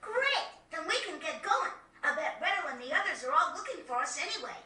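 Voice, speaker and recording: A woman's voice: extremely high pitch, big pitch swings, fairly quick, sharp tone, exaggerated voice. A woman voicing a cheerful, eager, inquisitive young child in a video game. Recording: echoey, distant, over game music.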